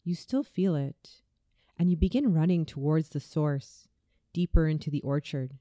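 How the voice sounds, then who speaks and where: A woman reading a scripted guided visualization in a soothing, deliberate way, as a meditation narrator. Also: deep voice, mellow, no echo, studio quality. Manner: soothing, slow, deliberate delivery.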